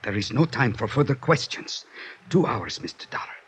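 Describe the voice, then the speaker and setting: An actor playing a furtive, anxious male informant, hushed and urgent with careful formal phrasing, in 1950s radio drama style. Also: mid-range voice, sing-song, normal speed, close to an everyday voice. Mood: furtive urgency. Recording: dull, noisy 1950s radio recording.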